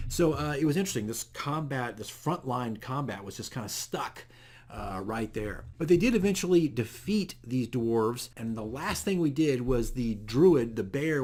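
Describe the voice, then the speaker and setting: A man's voice: mid-range voice, darkish tone. A man hosting a casual, unscripted video diary as a dungeon master, explaining things in a rambling, self-correcting way. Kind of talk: casual unscripted game session recap.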